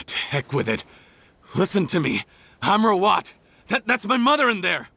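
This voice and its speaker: An actor playing a bitter, scornful criminal in a video game, with a sardonic, theatrical delivery. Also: male voice, high voice, sing-song, unhurried, gravelly, pushed and bright, a little hiss or hum, full character voice. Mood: desperate. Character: bitter, scornful male criminal, desperate and self-pitying. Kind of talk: dramatic video game dialogue.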